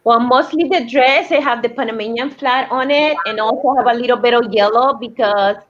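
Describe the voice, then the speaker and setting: Middle-aged woman with a Spanish accent. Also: on the high side. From Colombia. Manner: halting, searches for words. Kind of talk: casual chat. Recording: dull lo-fi sound.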